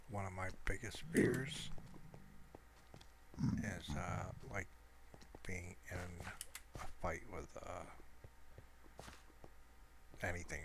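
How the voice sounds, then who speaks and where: A man giving casual, low-key gameplay commentary in a halting, rambling way. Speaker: gamer narrating own play. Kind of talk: casual unscripted gameplay commentary, soft ASMR-like. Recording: clearly noisy home recording.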